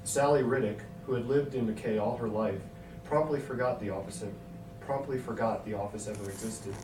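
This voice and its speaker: A man's voice: lowish voice, normal speed. A man reading a scripted story aloud as its narrator. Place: hotel room. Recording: echoey hotel room, distant mic, noisy.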